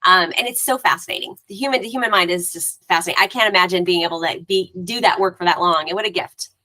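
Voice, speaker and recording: A woman's voice: on the low side, fast talker, sharp tone, poor audio. A woman hosting a podcast interview, effusive and chatty, with frequent fillers. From Florida Panhandle. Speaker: podcast host. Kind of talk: casual interview chat.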